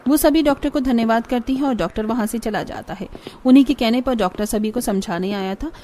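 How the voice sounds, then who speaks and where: A woman's voice: on the high side, fairly quick, dark tone, slight background noise. A woman giving scripted narration for a cartoon story.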